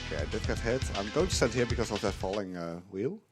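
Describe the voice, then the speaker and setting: A relaxed young man with a lowish voice explains a game casually while he speedruns it at a hotel event and laughs often. Loud game music plays under his commentary. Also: fast talker.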